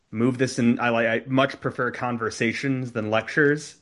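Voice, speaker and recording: A young man speaks as a guest lecturer over a video call. He is easygoing and conversational, with plenty of ums as he explains. His voice sits at a middle pitch. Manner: relaxed, conversational, many ums.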